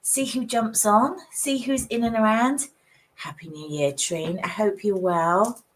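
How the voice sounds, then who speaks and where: A woman who is husky from a cold, chatting casually with viewers as a psychic livestream host in her home office. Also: mid-range voice, measured pace, poor audio. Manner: chatty, warm greetings, frequent little laughs.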